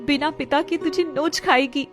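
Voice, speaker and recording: A woman's voice: sits high, steady tone, moderate pace, music in the background, lightly acted. A woman voicing a despairing, weeping young expectant mother in an audio story. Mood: despairing, tearful.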